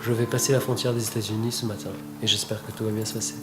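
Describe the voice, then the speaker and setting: A man from Morocco speaking to camera about himself, anxious and self-deprecating, with halting restarts. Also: on the low side, little pitch movement, heavy background noise. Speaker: interviewee. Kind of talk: personal testimony to camera. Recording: strong music bed under voice.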